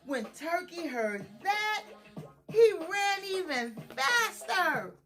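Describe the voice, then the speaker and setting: A woman reading a children's story aloud in an expressive, dramatic storytime style with drawn-out words. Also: extremely high pitch.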